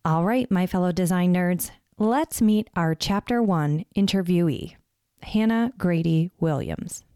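Woman podcast host with a smooth voice. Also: lowish voice, measured pace, dark tone, no room sound, faint music behind. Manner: conversational, reflective, frames questions with research. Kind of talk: podcast interview hosting.

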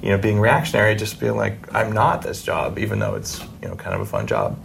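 Deep, very gravelly male podcast host. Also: wildly swooping pitch, brisk, slight background noise. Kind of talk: conversational interview.

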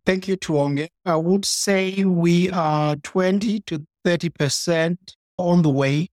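A middle-aged man with a Zimbabwean accent, giving measured answers as an interview guest. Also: high voice, a little mellow, dry recording, clean studio sound. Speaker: interview guest, executive director and pharmacist.

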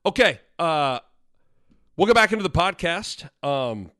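A man's voice: fairly high pitch, sing-song, a little slow, fairly bright tone, dry recording, studio quality. A young man hosting a podcast in casual sports talk, congested from a sinus infection, rambling and correcting himself as he goes. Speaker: podcast host.

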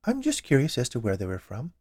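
Man voicing a chirpy high-pitched plump woman confectioner. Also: huge pitch swings, fast, a little mellow, slightly rough, no room sound, clean studio sound. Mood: chirpy, curious. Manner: chirpy, gushing, inquisitive. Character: plump cheerful chatty woman confectioner, nosy and sweet. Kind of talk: audiobook character voice.

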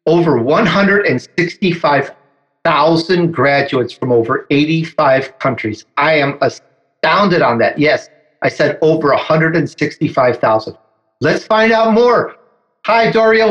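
A man's voice: fairly high pitch, expressive, a little slow, a bit bright, highs cut off. A man hosting his interview show, emphatic and eager as he builds up his guest. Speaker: podcast host.

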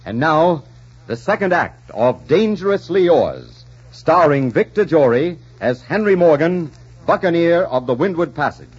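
A man announcing and reading adverts in an emphatic, deliberate 1940s radio style. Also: fairly high pitch, very animated, slight background noise. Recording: dull 1940s radio recording.

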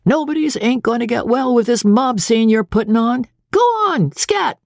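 Storybook captain, played by a woman: older man, brisk, folksy, mock-fierce, voice pushed high. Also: expressive, vocal fry, a little mellow, no room sound, studio quality. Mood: bossy. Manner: folksy, ungrammatical, blustering but placating.

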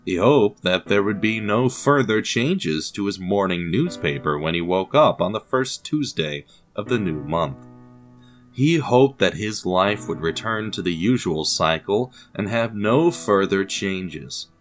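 A man narrating a scripted audio drama in a measured, theatrical storytelling manner with deliberate pauses. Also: low-pitched, animated, faint music behind.

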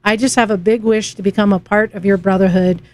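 A woman co-hosting a casual motorcycle podcast, playfully reading a listener email aloud in halting bursts. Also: medium pitch, fairly even intonation, darkish tone. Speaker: podcast co-host. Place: garage with a fan running.

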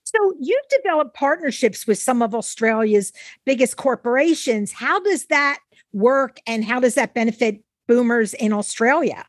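A woman's voice: fairly high pitch, animated, moderate pace, dry recording, clean studio sound. An American woman hosting a conversational interview, affirming and enthusiastic as she responds. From United States. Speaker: podcast host.

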